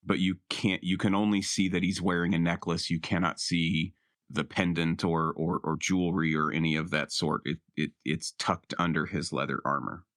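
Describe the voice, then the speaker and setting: A man with a low voice talks as a player in a tabletop game. The sound is dry and close, and his delivery is casual and unscripted. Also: little pitch movement, normal speed, a bit bright, dry recording.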